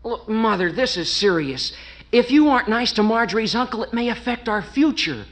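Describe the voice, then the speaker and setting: A young actor playing a mild-mannered, earnest fiancé in a 1940s radio comedy. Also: male voice, high voice, animated, normal speed, a bit bright. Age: young adult. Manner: earnest, soothing.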